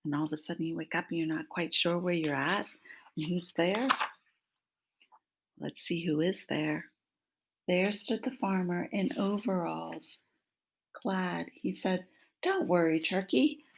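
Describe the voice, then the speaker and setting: Slow, slightly husky woman reading to children. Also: fairly low pitch, low-quality recording. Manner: prompting, question-asking, addressing young children. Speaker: teacher reading a picture book aloud. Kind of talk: interactive children's story read-aloud. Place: small room at home. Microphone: laptop microphone at arm's length.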